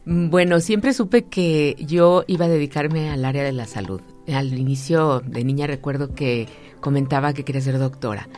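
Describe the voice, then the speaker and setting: A woman's voice: low-pitched, moderate pace, a little mellow, faint music behind. A woman in her fifties with a Mexican accent, a university lecturer answering conversationally as an interview guest. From Aguascalientes, Mexico. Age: 50s.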